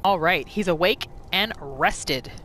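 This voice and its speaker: Bright-voiced woman narrating a game roleplay. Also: lowish voice, slow, slight background noise. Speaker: gaming channel host. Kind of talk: casual roleplay playthrough narration.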